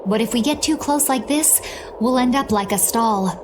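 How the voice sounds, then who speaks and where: A woman's voice: on the high side, normal speed, background noise, lightly acted. A woman playing a serious, analytical young character in a dramatic video game. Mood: wary.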